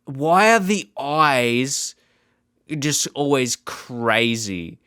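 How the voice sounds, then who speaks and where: A man hosting a gaming channel, giving casual, off-the-cuff reaction commentary in a rambling, halting, informal way. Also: mid-range voice, animated, pushed and bright, clean tone, no room sound, clean studio sound.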